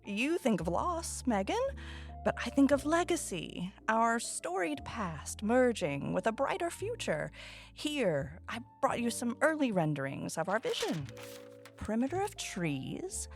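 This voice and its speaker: A smooth, animated, entitled land developer. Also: female voice, a little high, measured pace, soft background music. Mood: grandly selling. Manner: polished, self-assured, theatrical persuasion. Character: poised, assertive female land developer, smoothly persuasive and entitled. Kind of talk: audio drama acting.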